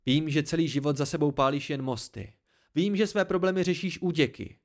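A man's voice: mid-range voice, lightly acted. A man voicing a hot-tempered, hurt and sarcastic young man in an audiobook story, barking cutting retorts. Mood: heated, accusing.

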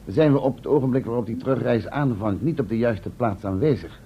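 An actor playing a cautious, fussy scientist in a radio drama, speaking formally and precisely with some hesitancy. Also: male voice, a little fast, close to an everyday voice. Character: cautious, fussy male scientific colleague, worried about risks. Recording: dull, rumbly, noisy old radio recording.

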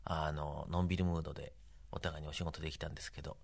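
Male interviewee, producer type. Deep register, rapid bursts broken by pauses, conversational. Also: little pitch movement, gravelly. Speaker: anime producer being interviewed. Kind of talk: casual interview talk.

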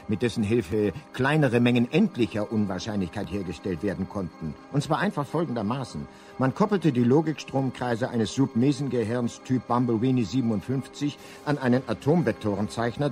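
An actor playing a pompous, wry guidebook narrator in a comic science-fiction radio play. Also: male voice, mid-range voice, darkish tone, lightly acted. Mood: mock-scholarly. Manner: mock-scholarly, pompous. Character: wry, learned male narrator of a galactic guidebook.

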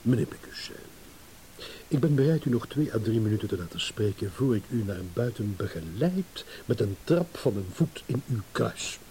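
Scornful husband played by a man, lively intonation. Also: lowish voice, moderate pace, close to an everyday voice, poor audio. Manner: sardonic, blunt, mocking. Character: scornful, impatient, self-assured male philandering husband. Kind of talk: radio play acting. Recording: hissy old radio play recording.

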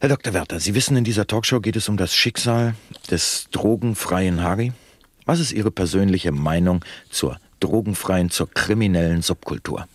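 An actor playing a slick, unctuous talk show host in a satirical radio play, flattering and theatrically solemn. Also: male voice, low-pitched, normal speed, no room sound. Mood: solemn admiration. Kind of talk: satirical radio play acting as broadcast presenter.

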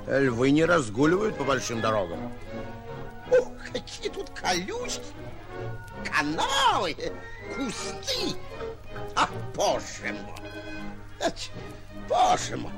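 An actor playing a pompous, excitable, boastful would-be lion hunter in a bombastic, theatrical 1940s radio comedy style, often muttering to himself. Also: male voice, high-pitched, full character voice. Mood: blustering, flustered. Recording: old 1940s radio recording.

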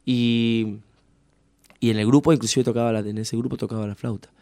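A man in his thirties with a Tucumán Argentine accent, a musician chatting loosely as a radio guest. His voice sits at an ordinary middle pitch and sounds dry and close, as he rambles through his story with restarts and fillers. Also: level tone, a little fast, darkish tone, dry recording. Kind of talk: casual radio interview.

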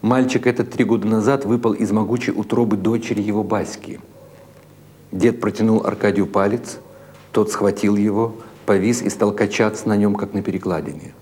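A man narrating literary short stories as a dramatic audiobook, in a measured, deliberate way with expressive phrasing. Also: on the low side. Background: music behind the reading.

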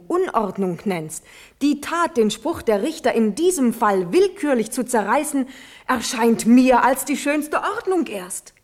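A woman's voice: high-pitched, full character voice. An actress playing a pleading young noblewoman, a devoted niece, in a classical verse radio drama with solemn, formal delivery. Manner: solemn, impassioned, formal declamation.

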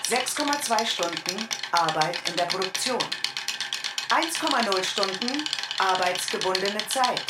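A woman's voice: mid-range voice, very noisy, natural voice. A woman performing a scripted radio-play narrator who recites in declarative phrases. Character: female narrator reciting statistics and household roles. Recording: echoey, distant, loud music behind.

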